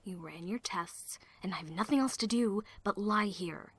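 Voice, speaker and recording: A woman playing a stubborn, protective young female patient in a fan audio drama, breathy and husky, with terse insistent delivery. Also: medium pitch, moderate pace, lightly acted. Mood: weak, insistent. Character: stubborn teenage girl survivor, weakened patient, fiercely protective.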